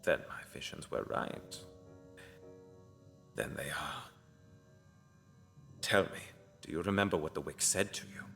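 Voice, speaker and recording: Here is a solemn fantasy seer acted by a man, grave and devout, guiding a future assassin. His voice sits extremely low with a gravelly creak, often hushed, over faint-to-clear music. The delivery is cryptic and ominous, as in a dark audio drama. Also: a little slow, long pauses, exaggerated voice. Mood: hushed, prophetic.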